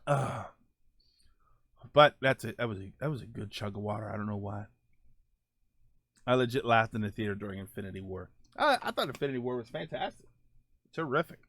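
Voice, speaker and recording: A man's voice: lowish voice, expressive, fairly quick, pauses often, a bit bright, no room sound. A man hosting a casual live-stream show, answering viewer comments in a loose, rambling way with lots of fillers. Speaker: livestream show host.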